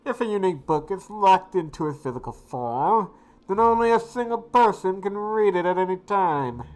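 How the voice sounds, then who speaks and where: Woman acting a low-voiced, earnest student mage. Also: a little mellow. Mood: earnest, emphatic. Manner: formal, precise, earnest. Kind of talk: scripted game dialogue. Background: music.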